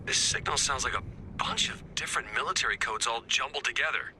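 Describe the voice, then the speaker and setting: A man's voice: mid-range voice, a little fast, sharp tone, rough recording. A man voicing a wry male field agent who leads the mission in a casual, wisecracking manner, in video game voice acting. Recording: game audio with background noise.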